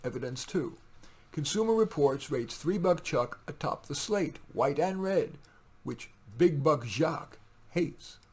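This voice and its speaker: A man with a husky voice reading his own poems in a deliberate, wry way, with informal asides. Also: on the high side, lively intonation, darkish tone.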